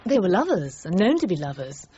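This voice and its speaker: An actress playing an articulate, guarded woman personnel manager who gives measured, discreet answers in a radio crime drama. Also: fairly low pitch, sing-song, warm tone, breathy edge, highs cut off. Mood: discreet, reflective.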